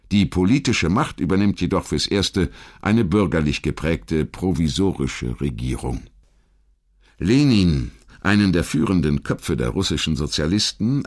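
A man reading scripted documentary narration in a measured, dramatic storytelling manner. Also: low voice.

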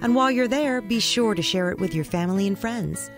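A woman's voice: medium pitch, animated, brisk. A woman reading scripted podcast credits as an announcer. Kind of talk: scripted narration. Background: plucked guitar music.

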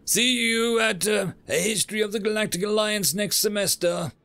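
A man's voice: high voice, lively intonation, normal speed, vocal fry, a bit bright, pitched above the performer's own voice, heavily acted. A man voicing a wry, teasing professor who is gleefully unsympathetic, in a story reading.

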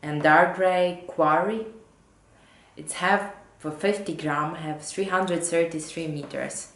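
A woman in her thirties with English as a second language, hosting a knitting podcast at home and sometimes halting to find her words. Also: low voice. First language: not English, not Danish. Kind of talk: casual chat to camera. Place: living room at home, indoors. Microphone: camera or laptop mic at desk distance. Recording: roomy home echo.

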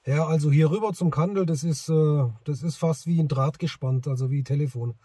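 A man's voice: a little high, fairly quick, no echo, studio quality. A middle-aged man, chatting casually as he tests a CB radio in a parked car. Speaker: amateur CB radio operator and vlogger. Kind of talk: casual chat and commentary while testing a radio. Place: inside a parked car in a forest. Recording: clearly noisy, radio static in car. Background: loud noise, radio hiss.

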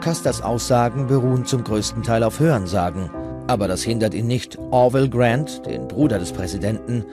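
A man's voice: medium pitch, a little fast, over music. A man reading scripted documentary narration as the narrator.

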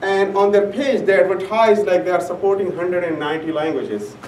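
A man's voice: sits high, fairly quick, a little mellow. A young man whose first language is Urdu, giving an academic conference talk with many fillers and restarts. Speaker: conference presenter. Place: conference room, large and indoors. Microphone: room camera mic from far off. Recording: echoey room, distant mic, faint hum.

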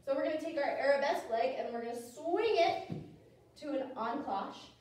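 A very reverberant dance studio, picked up by a distant microphone, with music in the recording. An American woman with a high voice leads small children through ballet steps, calling cues in an encouraging, animated manner. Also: normal speed. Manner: animated, encouraging, sing-song cueing. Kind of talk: dance class instruction for toddlers. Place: indoor dance studio with wooden floor. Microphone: camera several metres away.

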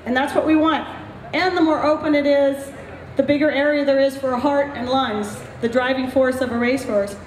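A woman's voice: sits high, steady tone, moderate pace, reverberant, a little hiss or hum, rough recording. A middle-aged woman veterinarian explaining points to an audience at an outdoor clinic, speaking into a handheld mic. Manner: explanatory, emphatic teaching with gestures. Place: outdoor paddock at a racetrack.

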